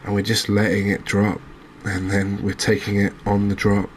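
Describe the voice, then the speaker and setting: A man giving gentle, unhurried ASMR commentary as he plays a game, pausing and hesitating as he goes. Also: on the low side, monotone, a little hiss or hum. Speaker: host of a gaming channel. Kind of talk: ASMR let's-play commentary.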